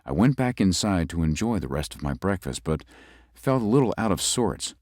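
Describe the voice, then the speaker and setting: An actor playing a young lawyer who narrates a ghost story in a measured way. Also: male voice, deep voice, big pitch swings, a little mellow, no echo, studio quality. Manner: measured, tells the story with rising unease. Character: young male lawyer recuperating in the country. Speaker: narrator and lead.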